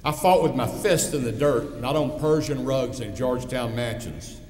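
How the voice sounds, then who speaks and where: A man's voice: medium pitch, moderate pace, darkish tone. East Texas man speechmaking in an echoey hall. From East Texas, United States. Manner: folksy, wry storytelling. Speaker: commencement speaker. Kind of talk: prepared speech with personal anecdotes. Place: large hall, speaking at a ceremony. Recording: echoey hall with long reverb.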